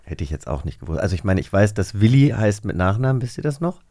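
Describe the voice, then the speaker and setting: Chatty low-voiced male podcast host. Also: very animated, fast, mellow, no echo, studio quality. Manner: chatty, self-mocking, lots of fillers. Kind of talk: casual chat, quiz game.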